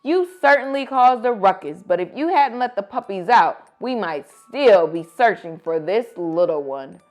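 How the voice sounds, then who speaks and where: A woman's voice: medium pitch, expressive, unhurried, dull audio, faint music behind, close to an everyday voice. A woman voicing a flustered but kindly female animal shelter director in a storybook, in an emphatic way. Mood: kindly, pleased.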